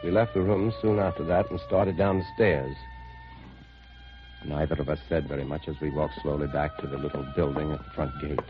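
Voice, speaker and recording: A man's voice: very deep voice, fairly even intonation, brisk, over music. An actor playing a troubled man investigating and narrating his own story in a 1950s radio drama. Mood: measured narration. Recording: dull lo-fi vintage 1950s radio recording.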